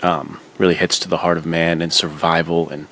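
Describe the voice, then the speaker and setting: Deep-voiced man chatting casually, slight hiss. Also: level tone, a little fast, a bit bright. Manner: slangy, buddy-like talk peppered with "dude" and "like". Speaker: podcast co-host. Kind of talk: casual film chat.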